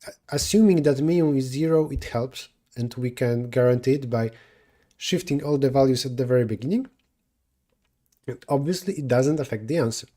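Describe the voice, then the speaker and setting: Mellow-voiced Polish man thinking aloud. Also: mid-range voice, fairly quick. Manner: thinking aloud, self-correcting mid-sentence. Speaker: streamer solving coding problems. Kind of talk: live coding stream, answering chat.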